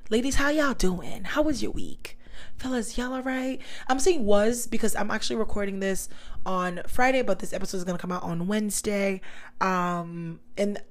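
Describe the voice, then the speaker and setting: Chatty woman with a slightly raspy voice. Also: mid-range voice, moderate pace. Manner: chatty, slangy, talks straight to listeners. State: slightly hoarse, recovering voice. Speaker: podcast host. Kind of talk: casual solo podcast chat.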